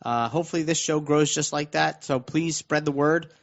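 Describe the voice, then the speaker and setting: A dull, lo-fi radio recording carries a man with a moderately high voice. He hosts in a loose, informal way, halting and repeating words as he works toward his point. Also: vocal fry. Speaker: radio show host.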